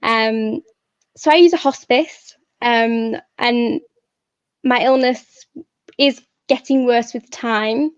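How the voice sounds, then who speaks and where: A young woman poet giving a personal talk and reading, measured, pausing between short phrases. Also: on the high side, smooth, no room sound, highs cut off. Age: young adult. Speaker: poet, guest speaker.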